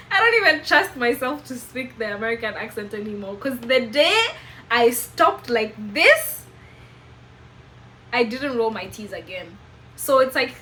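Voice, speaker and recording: A woman's voice: fairly high pitch, pushed and bright, slight background noise, rough recording. A woman in her twenties with a Kenyan accent, telling a personal story to camera in an emphatic, preachy way. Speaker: vlogger.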